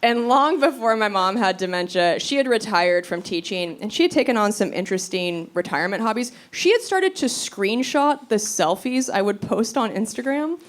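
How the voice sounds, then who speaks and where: A woman performing stand-up comedy, telling stories in an animated, conversational way and acting out quotes. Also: mid-range voice, normal speed, few pauses. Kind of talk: stand-up comedy storytelling to a live audience. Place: live comedy venue on stage. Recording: live crowd laughter, noisy stage recording. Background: audience laughter.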